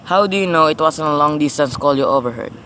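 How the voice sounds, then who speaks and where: A man's voice: fairly high pitch, expressive, fast, bright, forceful tone. A man playing a weary, dismissive police sergeant in a radio play, matter-of-fact and patronising. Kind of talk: radio play dramatic acting. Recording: noticeable background noise.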